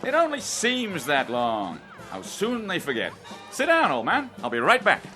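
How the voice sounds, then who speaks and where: An actor in a radio drama playing a jovial, self-satisfied speakeasy playboy with old-fashioned slang, music and a crowd behind him. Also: male voice, high voice, wildly swooping pitch, normal speed.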